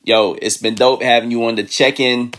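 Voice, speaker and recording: Relaxed slangy male co-host. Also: medium pitch, normal speed. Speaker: interview co-host. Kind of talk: casual interview chat.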